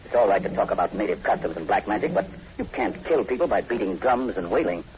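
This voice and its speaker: Brisk skeptical doctor, old radio. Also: male voice, on the low side, big pitch swings, darkish tone. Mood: dismissive. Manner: brisk, dismissive, matter-of-fact. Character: skeptical, scoffing male public health doctor. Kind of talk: 1940s radio drama acting. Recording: muffled old radio recording, light noise.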